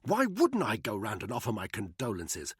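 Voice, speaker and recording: A man's voice: fairly low pitch, wildly swooping pitch, normal speed, husky, no room sound, clean studio sound, voice pushed up, full character voice. A man voicing a panicky, cowardly official who stammers and trips over his words. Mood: flustered.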